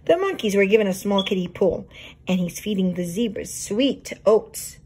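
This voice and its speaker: A woman reading a children's storybook aloud as a teacher would, expressive and explanatory. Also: mid-range voice, normal speed, noisy background.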